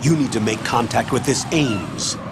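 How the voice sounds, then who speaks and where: Casting: male actor as older military commander, middle pitch, stern, guarded, firm orders, game dialogue over noticeable noise. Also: normal speed, creaky, close to an everyday voice. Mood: commanding. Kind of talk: video game radio briefing acting.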